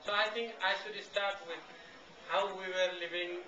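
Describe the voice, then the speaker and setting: Young man, earnest halting talk, repeats phrases, echoey room, far off camcorder mic, faint hiss. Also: high-pitched, steady tone. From Bhutan. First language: Nepali. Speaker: refugee guest speaker. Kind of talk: public talk. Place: meeting room, indoors. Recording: echoey, distant, dull camcorder audio.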